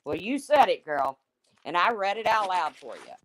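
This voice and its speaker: A woman with a deep, husky smoker's voice hosting a livestream, reading chat comments and answering them in a blunt, chatty way. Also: big pitch swings, moderate pace, a bit bright, no echo. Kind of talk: casual chat with viewers.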